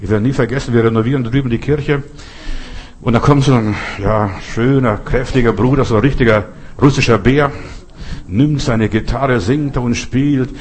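A man's voice: medium pitch, steady tone, normal speed, darkish tone. A middle-aged man who is not a native German speaker, preaching earnestly with personal stories and repeating phrases for emphasis. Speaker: pastor.

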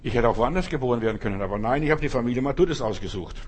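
A man's voice: medium pitch, expressive, very fast, breathy edge. A man preaching a sermon, speaking emphatically in repeated refrains and addressing the listener directly.

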